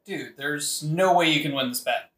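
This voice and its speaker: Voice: mid-range voice, moderate pace, a bit bright, roomy sound, natural voice. A performer playing a laid-back, doubtful buddy in a modern comic audioplay, talking casually and skeptically.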